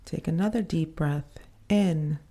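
A woman leading a guided meditation in a soothing, unhurried manner, pausing between short phrases. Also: low voice, warm tone.

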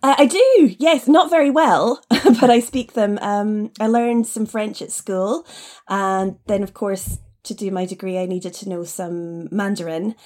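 Female podcast guest, mid-pitched voice, conversational teacher answering questions. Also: a little slow, darkish tone. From Scottish Highlands, Scotland.

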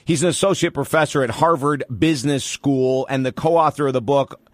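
A man's voice: medium pitch, steady tone, a little fast, no room sound. A man hosting a live radio talk show from a studio, talking in an upbeat, enthusiastic way and repeating points for emphasis. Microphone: studio microphone.

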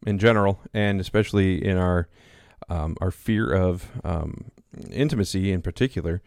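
A pastor in his thirties talking casually as a podcast co-host, with a loud voice that carries, rambling with many fillers and restarts. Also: deep voice, moderate pace, vocal fry, no echo, studio quality. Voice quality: loud, carrying voice. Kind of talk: casual conversation. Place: small home studio.

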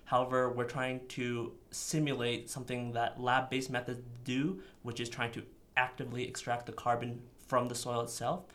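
Young grad-student engineer, methodical explainer, monotone delivery. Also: male voice, medium pitch, moderate pace, hardly any pauses. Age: young adult. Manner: methodical, technical. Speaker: PhD student researcher. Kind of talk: technical interview explanation.

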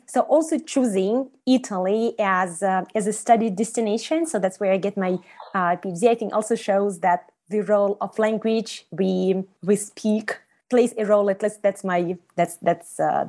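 A woman whose first language is Russian, a researcher speaking in a measured way with some hesitation. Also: medium pitch, a little mellow. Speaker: researcher guest. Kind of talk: academic interview.

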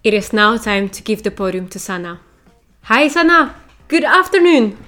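A woman hosting a podcast interview, upbeat in greeting and halting with restarts when asking questions. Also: fairly high pitch, very animated, pushed and bright, faint music behind. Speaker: podcast host.

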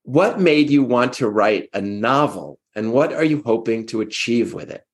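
A man hosting a podcast and posing long, measured interview questions. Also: mid-range voice, animated.

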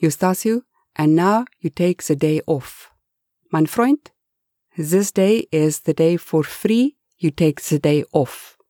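A woman's voice: low-pitched, takes it slowly, warm tone, dry recording, studio quality. A woman voicing a commanding but kindly German male billionaire who speaks clipped English with German words.

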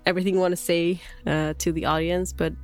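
A woman co-hosting a podcast, speaking English as a second language in halting, hesitant phrasing with lots of "like" and restarts. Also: lowish voice, level tone, soft background music. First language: not English. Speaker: podcast co-host. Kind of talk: casual podcast chat. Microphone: podcast microphone.

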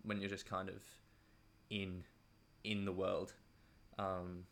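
Male interview guest, low but bright and forward voice, conversational, meandering and hesitant delivery. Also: flat delivery, takes it slowly.

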